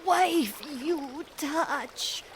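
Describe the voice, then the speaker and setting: High-pitched, halting village mother. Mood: desperate pleading. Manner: broken, halting speech in fragments. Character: pleading village woman with a sick boy. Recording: audio drama with noisy water ambience.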